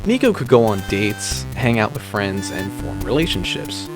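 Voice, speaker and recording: A man reading a scripted video essay in a dry, wry, measured way. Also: fairly low pitch, big pitch swings. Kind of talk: scripted narration. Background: background music.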